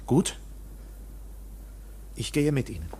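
A man's voice: mid-range voice, big pitch swings, slow, warm tone, a little hiss or hum. A male actor playing a polite accused man who grows anxious, in a German radio play with formal, dramatic speech. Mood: wary, firm.

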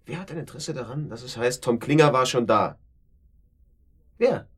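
An irritable man who feels set up and bristles with sarcasm. His husky voice swings widely in pitch as he blusters. The style is dramatic German radio play dialogue. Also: animated, normal speed, a bit bright. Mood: agitated, indignant. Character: irritable, defensive, sarcastic brother feeling set up.

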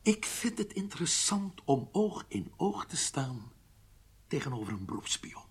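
A husky, somewhat high-voiced military prison doctor in a radio drama. He interrogates with measured, formal courtesy that carries menace. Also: sing-song, full character voice. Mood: formal, probing. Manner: measured, formal, pointedly polite.

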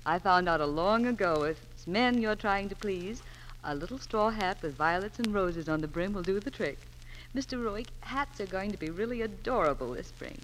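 A woman's voice: mid-range voice, expressive, moderate pace. A woman giving scripted beauty and fashion advice as a 1940s radio spokeswoman. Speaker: fashion reporter and sponsor spokeswoman. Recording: reverberant, noisy old 1940s radio recording.

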